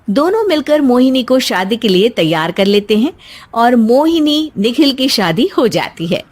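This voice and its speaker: A woman's voice: on the high side, a little mellow. A woman narrating a scripted cartoon story as its storyteller.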